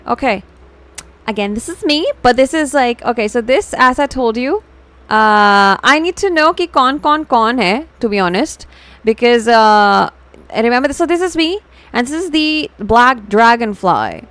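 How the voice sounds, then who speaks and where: A woman with a fairly high voice and an Indian accent hosts a casual livestream. She talks informally, reacting and chatting to viewers, and mixes Hindi into her English as she goes. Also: big pitch swings, normal speed, fairly bright tone, no room sound. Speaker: VTuber livestream host.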